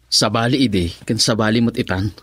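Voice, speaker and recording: A man's voice: lowish voice, fairly quick, fairly bright tone, breathy edge, no echo. A man with an Ilocano Filipino accent playing a stubborn, exasperated young son who protests earnestly in a radio drama. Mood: defiant.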